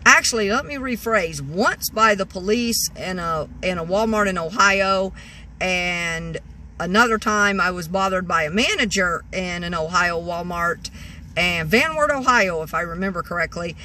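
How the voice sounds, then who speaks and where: Rambling woman in her sixties, bright voice. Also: fairly low pitch, moderate pace, a little hiss or hum. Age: 60s. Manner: rambling, many restarts and "you know"s. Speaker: vlogger. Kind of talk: casual storytelling. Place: parked car, indoors of vehicle. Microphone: phone at arm's length.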